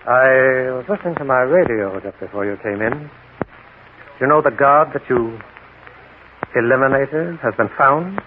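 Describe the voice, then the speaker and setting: An actor playing a menacing, smooth crime boss in a 1940s radio drama, sardonic and mockingly polite. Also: male voice, medium pitch, lively intonation, measured pace, lightly acted. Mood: cold, reproachful. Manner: sardonic, mock-polite, insinuating. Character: smooth, menacing male crime boss buying stolen photographs. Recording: noisy, tinny 1940s radio recording.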